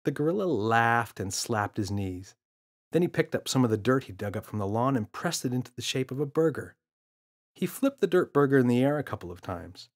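Man narrating a children's audiobook. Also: lowish voice. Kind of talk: scripted children's story narration.

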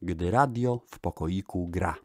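Low-voiced, slow-paced man presenting records. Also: loud music behind the voice. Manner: deliberate, pausing between short phrases. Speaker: radio show host. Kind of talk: spoken music radio programme narration with digressions.

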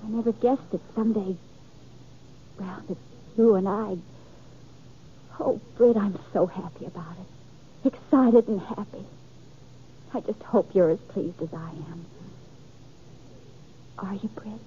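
A woman's voice: medium pitch, a little slow, pauses often, dark tone, lo-fi sound, lightly acted. Adoring frontier sweetheart played by a woman, thin radio. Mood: adoring, nervous. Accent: American. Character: sweet, adoring, eager small-town young woman. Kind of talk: 1950s radio western acting. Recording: thin, faintly noisy old radio recording.

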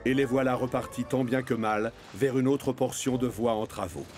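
A male narrator tells a children's story. His voice is medium in pitch, with clear music playing underneath. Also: normal speed.

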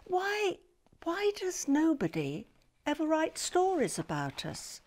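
A woman's voice: high voice, huge pitch swings, takes it slowly, a little mellow, pitched above the performer's own voice, heavily acted. A woman voicing a feisty, gloomy little male earwig in an emphatic, theatrical storybook manner. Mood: aggrieved.

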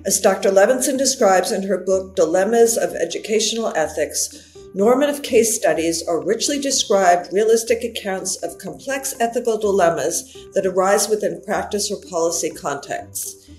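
A middle-aged woman co-hosts a podcast, reading from a script in a medium-pitched voice. Her home video-call sound is roomy, and music plays behind her. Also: animated, normal speed, a little mellow. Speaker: podcast co-host. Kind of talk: scripted introduction, read out. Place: living room at home.

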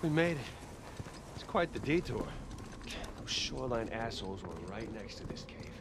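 A man's voice: medium pitch, animated, normal speed, noisy background, close to an everyday voice. A man playing a sardonic, eager American treasure hunter, acted naturally for a video game. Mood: excited, wry.

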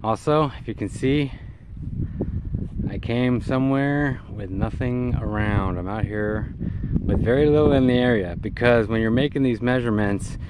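Low-voiced middle-aged man, noisy outdoor recording. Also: a little mellow. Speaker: CB radio hobbyist presenter. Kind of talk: casual how-to demonstration. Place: outdoors in and beside a parked vehicle in an open field. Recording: clear background noise, outdoor ambience.

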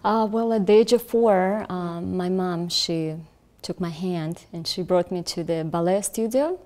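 Woman, low mellow voice, soft and hesitant with lots of ums. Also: a little slow. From Russia. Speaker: dancer, guest. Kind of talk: interview answers. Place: furnished living room. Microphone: lapel mic.

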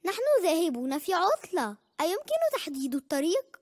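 A very high, lively little girl pig, cheerful and curious. She speaks with childlike eagerness in a preschool cartoon. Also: fairly quick, a little hiss or hum. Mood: excited. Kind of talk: Arabic-dubbed preschool cartoon.

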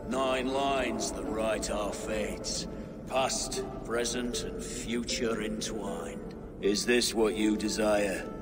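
An actor playing a grave, stern old blind hermit in a video game, speaking in a solemn, cryptic, deliberate way. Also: male voice, lowish voice, steady tone. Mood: ritual, solemn. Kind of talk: dramatic video game acting. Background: music.

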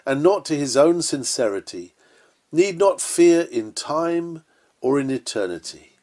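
A man's voice: medium pitch, a little mellow. Male sermon reader, mid-range voice, earnest oratorical delivery of old-fashioned scripted text. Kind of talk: reading an old sermon aloud.

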